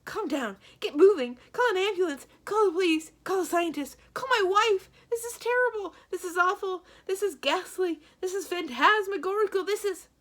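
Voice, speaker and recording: A woman voicing a panicked, babbling male banker in a storybook read-aloud, shrill and exclamatory. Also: a bit bright. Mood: frantic alarm. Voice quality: shrill, high-pitched. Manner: exclamatory, rapid-fire babbling.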